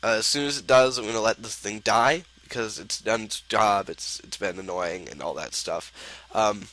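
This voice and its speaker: Young male gaming host, sick and stuffy-nosed, rambling casual commentary, lowish voice with a bright edge. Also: moderate pace, low-quality recording. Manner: rambling, filler-heavy, laid-back.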